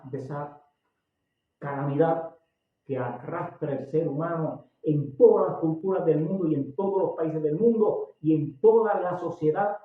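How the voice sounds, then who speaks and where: A man in his sixties from Puerto Rico with a Puerto Rican accent, preaching a sermon emphatically, getting loud and slowing down on key words. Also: on the high side. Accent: Puerto Rican Spanish. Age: 60s. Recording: tinny, echoey, distant recording.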